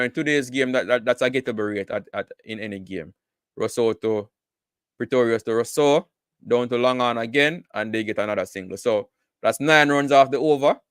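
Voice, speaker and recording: A man's voice: mid-range voice, smooth, dry recording, studio quality. A man giving casual live cricket commentary, full of repeated words and "you know" fillers.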